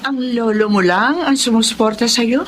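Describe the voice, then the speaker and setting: A woman's voice: on the high side, moderate pace, slight background noise, lightly acted. A woman with a Filipino accent playing a generous, lonely older woman in a radio drama. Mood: hospitable. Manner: friendly, expressive.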